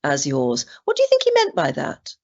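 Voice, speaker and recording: A woman's voice: deep voice, normal speed, vocal fry. A British woman interviewing an artist, asking questions with encouraging follow-ups. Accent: British English. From Britain. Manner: warm prompting questions, lively intonation. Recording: dull, slightly muffled sound.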